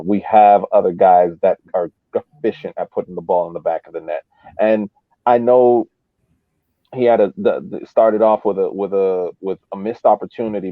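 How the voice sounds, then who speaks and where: A man giving casual sports commentary on a podcast, haltingly thinking aloud over thin call audio. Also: sits low, dry recording, narrow, tinny audio. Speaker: podcast co-host.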